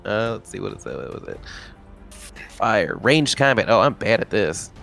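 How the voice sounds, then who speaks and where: Low, gravelly man playing a tabletop game. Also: sing-song, normal speed, a bit bright, soft background music. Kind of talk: casual chat.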